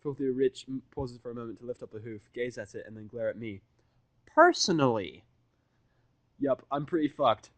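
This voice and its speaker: A man's voice: medium pitch, fairly even intonation, fast, hardly any pauses, a little mellow, no echo. A man reading first-person, present-tense fantasy fan fiction as the narrator.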